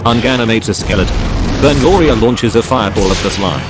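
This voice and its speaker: A man's voice: on the low side, lively intonation, brisk, close to an everyday voice. A man playing a reporter of fantasy arena fights, giving sports-style play-by-play commentary. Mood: reporting action.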